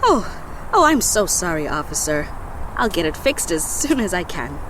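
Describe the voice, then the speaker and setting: A woman's voice: fairly low pitch, big pitch swings, moderate pace, background noise. A woman playing a nervous, apologetic driver in an audio drama, hesitant and polite.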